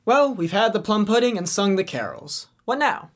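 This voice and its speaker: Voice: mid-range voice, very animated, moderate pace, natural voice. A performer playing a cheerful young man who leads a party game, in a student radio drama. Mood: merry. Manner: merry, playful.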